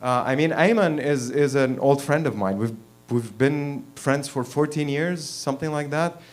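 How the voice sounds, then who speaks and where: A man in his thirties, from Lebanon, tells a frank personal story on a small stage. He talks bluntly and swears freely, laughing and pushing his voice up when he gets fired up. His voice is of middle pitch, heard through a handheld mic. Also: moderate pace. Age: 30s. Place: small performance venue, in front of an audience.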